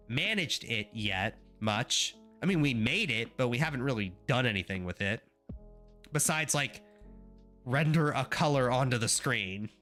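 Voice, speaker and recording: Male streamer, congested and nasal, bright tense tone, chatty rambling coding commentary. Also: a little high. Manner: chatty, rambling, wry asides to chat. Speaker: livestreamer learning graphics programming.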